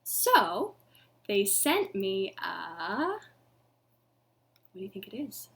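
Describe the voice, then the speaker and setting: A woman in her thirties hosting an interactive storytime for young children, with expressive, sing-song delivery and exaggerated reactions. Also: mid-range voice, very slow. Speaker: library storytime host. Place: living room at home.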